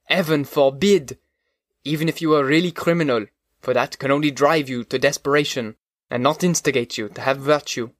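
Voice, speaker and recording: Kindly blind old exile, gentle and earnest , read by a man, dry close sound. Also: medium pitch, normal speed, dry recording, lightly acted.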